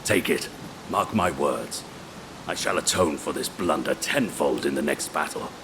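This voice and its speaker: Game role: honourable castle protector, humbled and solemn, deep voice against rumbling noise. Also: male voice, animated, unhurried, gravelly, exaggerated voice. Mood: grateful, solemn. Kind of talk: video game cutscene acting. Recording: noisy rumble behind the voice.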